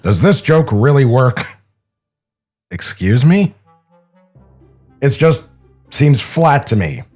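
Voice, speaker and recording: A man's voice: medium pitch, big pitch swings, takes it slowly, a little mellow, faint music behind. A man playing an earnest, conversational animator in a comic script table read.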